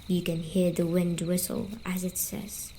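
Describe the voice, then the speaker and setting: Woman as female sleep demon, ASMR storyteller, soothing, coaxing, mellow tone, leisurely delivery. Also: fairly low pitch, level tone, a little hiss or hum. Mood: hushed storytelling. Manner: slow, soothing, coaxing, softly spoken. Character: gentle, teasing female sleep paralysis demon, comforting storyteller. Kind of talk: ASMR story-telling roleplay audio.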